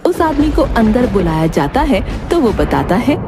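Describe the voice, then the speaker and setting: A woman's voice: a little high, sing-song, moderate pace, over music. A woman narrator reading a scripted moral tale in a storytelling style.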